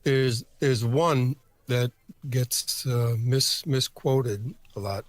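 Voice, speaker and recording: A man answering as an interview guest, a voice actor talking casually in a halting, reflective way. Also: medium pitch, no room sound.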